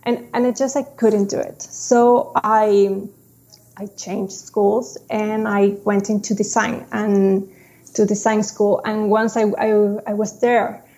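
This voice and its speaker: Slow-speaking woman with gravelly tone. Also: mid-range voice, flat delivery, dark tone. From Chile. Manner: slow, halting, with frequent restarts. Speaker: guest, designer. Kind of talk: casual interview.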